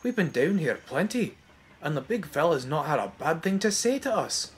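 A man's voice: fairly high pitch, big pitch swings, moderate pace, a little hiss or hum, voice pushed up. A man voicing a doubtful, loyal engine with a Scottish accent in a children's story, scoffing and plain-spoken. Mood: sceptical.

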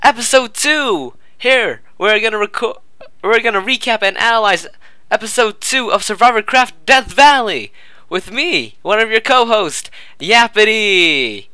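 Bright, clear-voiced talkative male co-host. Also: high-pitched, animated, no room sound. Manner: talkative, emphatic, drawn-out show intro. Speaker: podcast co-host. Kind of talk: casual podcast chat.

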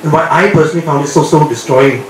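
A man's voice: on the high side, fast, a little hiss or hum. An older man, an actor on a panel after a screening, talking earnestly and bluntly about his views. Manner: earnest, outspoken. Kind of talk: post-screening Q&A answer. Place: screening room, seated. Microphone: handheld mic. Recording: very echoey room, distant.

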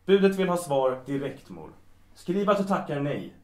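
A man's voice: a little high, big pitch swings, darkish tone. A man playing a hot-headed, protective young art student in an audio drama, emotional and protesting. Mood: worried. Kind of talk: Swedish audio drama acting. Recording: roomy, echoing interior sound.

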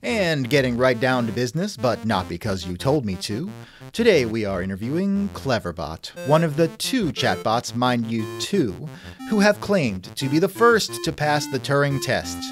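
A man's voice: medium pitch, very animated, moderate pace, over music. A man hosting a scripted comedy interview show in a theatrical, wisecracking manner, close to the mic. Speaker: podcast host and interviewer.

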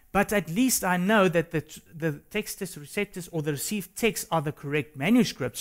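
A South African man whose first language is Afrikaans, co-hosting a religious discussion in an earnest, halting way. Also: on the high side. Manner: earnest, hesitant with restarts. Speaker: co-host. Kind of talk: studio discussion.

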